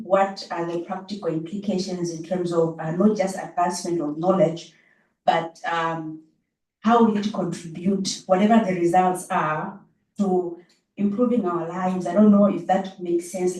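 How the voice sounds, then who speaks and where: A woman's voice: lowish voice, mellow. A woman from Zambia asking questions from the audience at a public talk. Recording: echoey room, distant mic.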